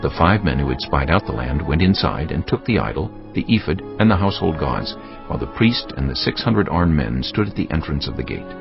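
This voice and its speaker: Deep guy reading bible story, solemn, lots of rise and fall, music behind, bit muffled. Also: sing-song, brisk, vocal fry. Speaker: narrator. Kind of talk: dramatised scripted Bible narration.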